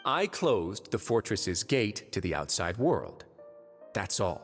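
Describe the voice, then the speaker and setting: An actor playing a calculating fortress lord in a video game, outwardly courteous and wry with quiet menace, speaking in measured, polite phrases. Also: male voice, lowish voice, very animated, full character voice. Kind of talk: scripted game voice acting. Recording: long reverb, music underneath.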